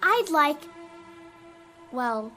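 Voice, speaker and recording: Tiny gleeful children clamoring for presents in an old radio play, their voices shrill and very bright, slow and bouncing with eager excitement over a backing of music. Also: female voice, heavily acted. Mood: excited. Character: excited little children begging Santa.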